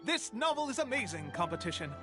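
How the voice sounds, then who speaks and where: A courteous bookseller in a game, high for a male voice and eager to help. Music plays clearly behind him. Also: big pitch swings, fast. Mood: helpful. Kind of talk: scripted video game voice acting.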